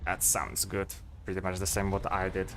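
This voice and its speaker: A man in his twenties with a Polish accent, chatting casually to stream viewers and thinking aloud, with pauses and fillers. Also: low voice, monotone, a bit bright, a little hiss or hum.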